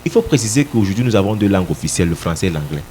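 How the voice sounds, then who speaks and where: A man's voice: sits low, big pitch swings, very fast, dark tone, slight background noise. A man from Cameroon, a political party representative answering radio interview questions in an emphatic, insistent way, sometimes repeating words as he searches for them.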